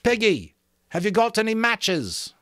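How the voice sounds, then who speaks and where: A man's voice: sits high, sing-song, a little slow, fairly bright tone, dry recording, clean studio sound, pitched above the performer's own voice, full character voice. A man voicing an earnest young boy leader, eager but unsure, calling out in a novel reading. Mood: urgent.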